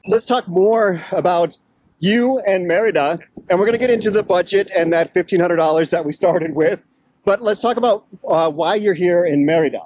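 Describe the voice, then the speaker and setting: A man's voice: high-pitched, normal speed, darkish tone, no echo, highs cut off. Easygoing man host, dry close sound. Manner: curious, easygoing questioner. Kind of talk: casual interviewing. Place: outdoors on a city street.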